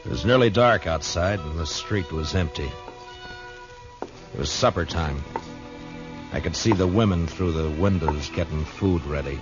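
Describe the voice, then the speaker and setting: Very deep-voiced Old West marshal. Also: male voice, unhurried, long pauses, music in the background. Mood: grim, reflective. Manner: blunt, terse, level-headed. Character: stern, plain-spoken Old West marshal, male, steady and resolute. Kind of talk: 1950s radio drama acting and first-person narration. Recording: muffled vintage radio recording.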